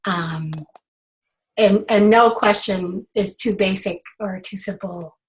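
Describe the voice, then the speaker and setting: A middle-aged woman with a hoarse voice from a cold, hosting a casual branding call and chatting with many fillers and restarts. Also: mid-range voice, takes it slowly. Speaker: branding coach hosting a clinic.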